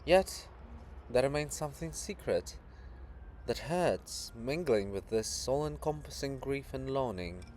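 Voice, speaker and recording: Give the voice of a brooding old poet cast as a living monument, acted by a man reading a play. He is solemn and fatherly, weighing each phrase slowly and searchingly. A light noise sits behind the voice. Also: mid-range voice, lively intonation, darkish tone, lightly acted. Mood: grave, knowing.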